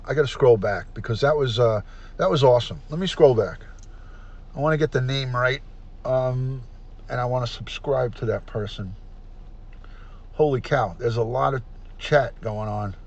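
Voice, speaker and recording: A middle-aged man hosting a casual livestream, chatty and repetitive, often clearing his throat. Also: medium pitch, dull audio. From New York. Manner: chatty, repeats himself, frequent throat clearing. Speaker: livestream host, retired detective. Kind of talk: casual livestream chat, reading comments aloud.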